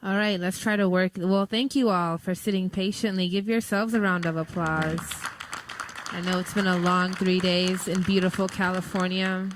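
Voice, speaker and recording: A woman's voice: on the low side, fairly even intonation, normal speed, a little hiss or hum. A woman leading a youth session, casually directing a crowd at a conference. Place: large conference hall.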